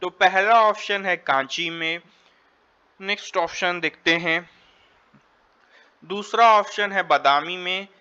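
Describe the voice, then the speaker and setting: Indian male quiz tutor, slightly high voice, explanatory and repetitive, lo-fi sound. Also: slow, long pauses, sharp tone, clear, resonant tone. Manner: repeats and rephrases, switches between Hindi and English. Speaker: exam tutor. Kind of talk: quiz lesson, reading questions and explaining answers.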